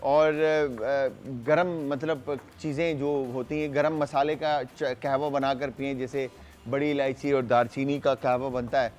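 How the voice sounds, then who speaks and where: A man hosting a live TV cooking show, talking in an exclaiming, lively way with callers and guests. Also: mid-range voice, unhurried, soft background music. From Pakistan. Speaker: TV chef host. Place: TV studio kitchen.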